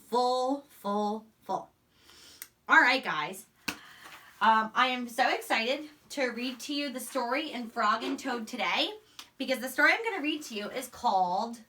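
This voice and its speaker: A woman teacher giving a phonics lesson and read-aloud to young children, encouraging, enunciating deliberately and repeating words, picked up by a distant microphone. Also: fairly high pitch, sharp tone. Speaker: elementary school teacher.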